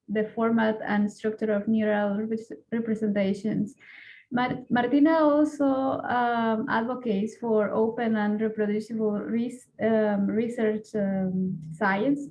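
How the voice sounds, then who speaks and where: A woman hosting an online event, introducing the next speaker in a halting way with fillers and self-corrections. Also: a little high, creaky, a little mellow, a little hiss or hum, poor audio.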